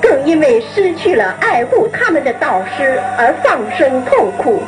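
A woman's voice: high-pitched, big pitch swings, normal speed, rough recording. A woman radio announcer reading a solemn scripted commemorative narration in a formal, declamatory manner. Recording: archive broadcast with music bed. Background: music.

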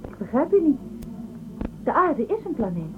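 A woman's voice: sits high, big pitch swings, moderate pace, noisy background. An actress playing a forthright, guarded female starship crew member in a radio drama. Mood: guarded, defiant. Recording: tinny phone-like sound.

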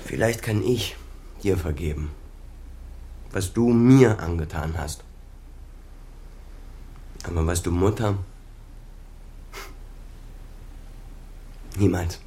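An embittered grown son in a radio drama. His voice sits fairly low, and he speaks in cutting, accusing phrases, full of reproach toward the father he is estranged from. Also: slow, long pauses, mellow. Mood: wounded, unforgiving. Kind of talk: naturalistic radio drama acting.

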